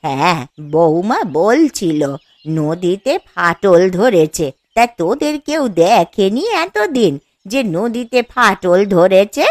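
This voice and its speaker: A woman voicing a caring older village mother in a cartoon, gentle and coaxing. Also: medium pitch, animated, slow, no room sound. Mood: concerned. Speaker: cartoon character.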